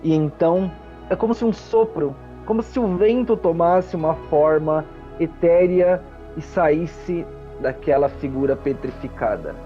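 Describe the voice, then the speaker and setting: A man narrating a tabletop game as game master, theatrical and full of deliberate pauses. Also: a little high, warm tone, highs cut off, soft background music.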